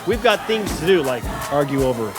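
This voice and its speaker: Animated, high-pitched man acting as a football announcer. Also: a little fast, natural voice. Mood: excited. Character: excitable male sportscaster calling a zombie Viking football game. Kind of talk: sports play-by-play acting. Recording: loud crowd and music behind. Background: stadium crowd, music.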